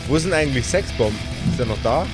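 A man's voice: mid-range voice, animated, fast talker, over music. A man working as game master, narrating an improvised tabletop role-playing audio play.

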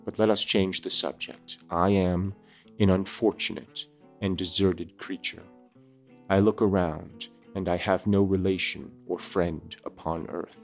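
A man voicing an earnest, anguished outcast creature in a solemn, formal, measured storybook reading. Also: deep voice.

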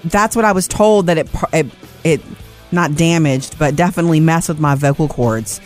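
A woman's voice: sits low, a little mellow, soft background music. A woman co-hosting a show, chatting casually and teasing the host. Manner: teasing, quick to finish others' sentences. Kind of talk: casual chat.

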